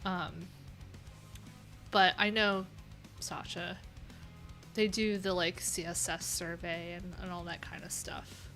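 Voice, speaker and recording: A woman's voice: fairly low pitch, flat delivery, slow, long pauses, sharp tone. A young adult woman hosting a coding livestream, talking casually and rambling with lots of fillers, with faint music in the background. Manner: chatty, rambling, many "like"s and "um"s.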